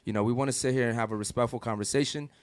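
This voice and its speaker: A man's voice: medium pitch, steady tone, racing, no echo. A young man hosting a live podcast from a studio, talking loosely and hyping up his viewers, with "guys" and "okay" all the time. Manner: loose, hype-driven, repeats "guys" and "okay". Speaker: podcast host. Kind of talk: casual live podcast chat. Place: studio in Miami.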